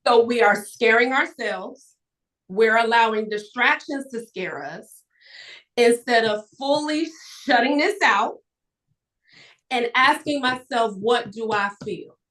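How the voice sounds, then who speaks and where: A woman speaking as a guest in a motivational live conversation, with an emphatic, projecting, animated storytelling delivery. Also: a little high, slow pace, low-quality recording. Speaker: guest author and entrepreneur.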